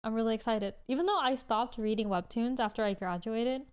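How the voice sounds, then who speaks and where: A woman in her twenties with a fairly high, very smooth and clean voice. She talks as a livestream host in loose, chatty rambles, answering viewers casually as she goes. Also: brisk, a little mellow. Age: 20s.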